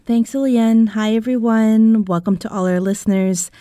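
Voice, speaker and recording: A woman from Canada with a mellow, warm voice introduces herself on a podcast. She speaks earnestly and deliberately. The recording is dry and close. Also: fairly high pitch, fairly even intonation, dry recording. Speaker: PhD student and community practitioner. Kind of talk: prepared self-introduction.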